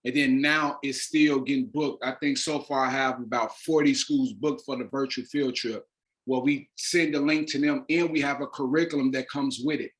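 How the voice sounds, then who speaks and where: A man's voice: medium pitch, steady tone, moderate pace, a bit bright, poor audio. A man speaking as a producer and interview guest, explaining his work in an earnest, emphatic, conversational way.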